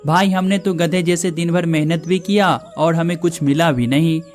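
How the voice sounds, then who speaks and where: Man playing a higher-pitched lazy village lad. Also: normal speed, faint music behind, close to an everyday voice. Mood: disgruntled. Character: lazy young village brothers, whining and scheming.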